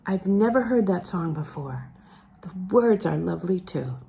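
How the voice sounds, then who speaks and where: A woman's voice: on the low side, lively intonation, a little slow, gravelly, mellow, a little hiss or hum. A woman acting an earnest, devoted young woman from a novel, sincere and easily flustered. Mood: quiet sincere.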